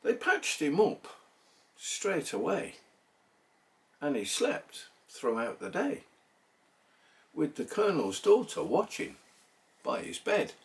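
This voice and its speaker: A man recites a narrative poem in a theatrical style, recorded a little way off from the microphone. He takes his time, leaving pauses between phrases. His middle-pitched voice rises and falls widely as he goes. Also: wildly swooping pitch, gravelly, recorded from a distance. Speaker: poetry reader.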